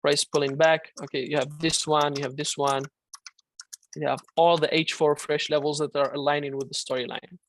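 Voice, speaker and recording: A man's voice: a little high, little pitch movement, moderate pace, fairly bright tone. A man teaching a live online trading class, coaching casually and reading out questions from the chat. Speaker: trading instructor.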